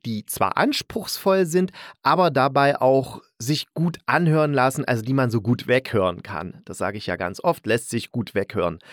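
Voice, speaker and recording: A man's voice: medium pitch, no echo. Casual man reviewing, dry close sound. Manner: colloquial, enthusiastic, emphatic repetitions. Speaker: podcast host reviewing radio plays. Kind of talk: casual spoken review monologue.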